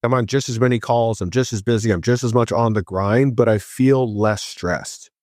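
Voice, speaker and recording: A man's voice: medium pitch, moderate pace, dry recording, clean studio sound. Chatty man from Hawaii telling stories. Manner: chatty, animated voice when quoting himself. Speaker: guest. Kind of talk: casual storytelling.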